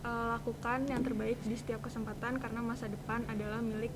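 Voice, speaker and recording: A woman's voice: fairly high pitch, flat delivery, fast. A teenage young woman with a Jakarta accent talking informally as a podcast guest and giving casual advice in colloquial speech. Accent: Jakarta Indonesian. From Indonesia. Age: teens, high school student. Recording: clearly audible background ambient noise.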